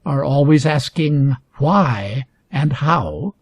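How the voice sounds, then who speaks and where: A man reading a scripted educational story aloud for English learners with deliberate, emphatic phrasing. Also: mid-range voice, animated. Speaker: reader.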